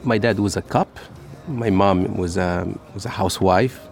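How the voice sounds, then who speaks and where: Energetic Moroccan man, low-pitched, music behind. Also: unhurried, vocal fry. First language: Arabic. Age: 50s. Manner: energetic, joking, with playful asides. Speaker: chef and restaurant host being interviewed. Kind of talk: casual storytelling while serving food.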